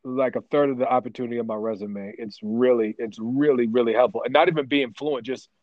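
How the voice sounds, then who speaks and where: A man being interviewed about his work as a voice actor, telling stories in a rambling, animated way with lots of restarts. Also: mid-range voice, a little fast, no echo, phone-quality sound. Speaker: voice actor guest. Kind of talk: casual interview chat.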